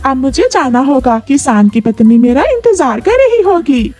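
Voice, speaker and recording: A woman's voice: high-pitched, lively intonation, moderate pace, a little mellow, soft background music. A woman voicing a kind, helpful mother sparrow in a children's story, with a sweet, soothing manner. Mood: gentle, helpful. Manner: sweet, soothing lullaby singer.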